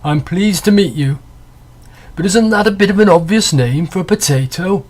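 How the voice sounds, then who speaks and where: A man's voice: high voice, animated, pitched above the performer's own voice. A man voicing a nervous, confused farmer in a storybook reading. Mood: bewildered. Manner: hesitant, confused, slow. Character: nervous bewildered male farmer.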